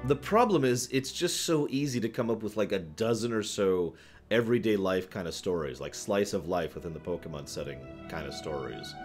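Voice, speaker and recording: Lively, low-voiced man on a casual stream. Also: fairly quick, faint music behind. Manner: rambling, thinking aloud, talking to chat. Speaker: livestream host answering viewer questions. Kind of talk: casual livestream chat about games.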